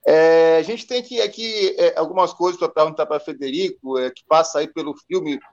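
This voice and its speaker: Guy co-hosting a stream, kinda high voice, stumbles and restarts a lot, muffled lo-fi audio. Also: a little mellow. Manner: halting, many restarts and "é" fillers. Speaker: co-host interviewer. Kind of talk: live stream interview questions.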